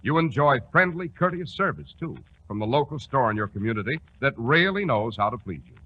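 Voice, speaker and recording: Husky, animated American radio announcer, man. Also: mid-range voice, brisk. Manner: polished, emphatic sales delivery. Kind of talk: 1940s radio announcing and sponsor pitch. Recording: muffled 1940s radio recording.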